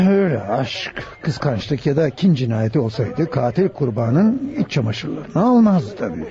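An actor playing a careful police doctor in a radio drama, speaking formally and deliberately. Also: male voice, mid-range voice, big pitch swings, dark tone. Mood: grave. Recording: muffled old radio broadcast, crowd murmur.

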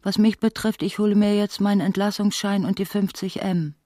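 An actress plays a woman narrating a literary radio drama in a dark, mellow voice. Her delivery is sober and measured, keeping an even line through long sentences, and the sound is dry and close. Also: mid-range voice, no room sound, natural voice.

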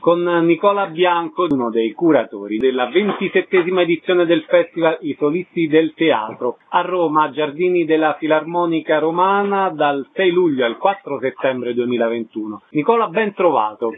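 Higher-voiced male radio interviewer, tinny sound. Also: lively intonation, moderate pace, hardly any pauses, soft background music.

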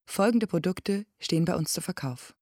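An actress playing a plain advert voice-over in a radio play, reading a bare list item by item. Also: female voice, lowish voice, animated, a little mellow, no echo, studio quality. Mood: neutral, deliberate. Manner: deliberate, bare listing. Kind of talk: scripted advert read, item by item.

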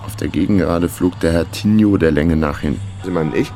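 A weary, sly small-time investigator in a German radio crime play. The voice is very deep and gravelly, dry and laconic, with music underneath. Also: male voice, brisk, dark tone. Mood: dry, matter-of-fact. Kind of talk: German radio crime drama, first-person narration and dialogue.

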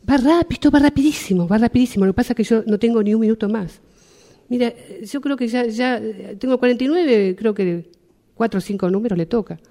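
A woman's voice: medium pitch, mellow, big-room sound, natural voice. A woman with an Argentine accent playing a harried, insistent customer in an improvised radio theatre exercise. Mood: pleading, rushed. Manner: insistent, repetitive. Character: harried, pleading, indignant woman queuing over a gas bill.

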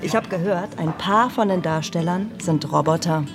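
An actress playing a friendly, down-to-earth bar waitress in a radio drama, speaking naturally and casually. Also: female voice, lowish voice, moderate pace, over music, natural voice. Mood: curious.

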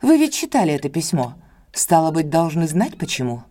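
A woman's voice: low-pitched, very animated, normal speed, mellow. An actress playing a guarded, anxious young woman in an audio drama, speaking with careful restraint. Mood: defensive, guarded.

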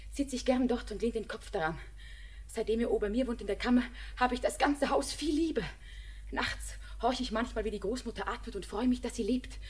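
An actress playing an anxious, lovestruck young daughter in a poetic radio drama, with a fervent, halting delivery. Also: female voice, a little high, a little mellow, lightly acted.

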